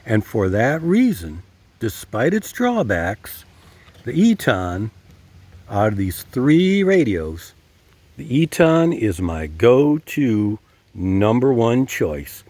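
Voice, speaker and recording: An older man presenting a casual product review, speaking deliberately and repeating key phrases for emphasis. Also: medium pitch, big pitch swings, a little mellow, dry recording. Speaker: host reviewing gear.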